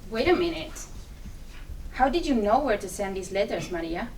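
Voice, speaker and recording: A woman's voice: mid-range voice, lively intonation, moderate pace, darkish tone, close to an everyday voice. Woman as weary, wounded Cuban mother for stage drama, pleading and exasperated, slightly distant recording with faint noise. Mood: bewildered. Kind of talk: dramatic play reading.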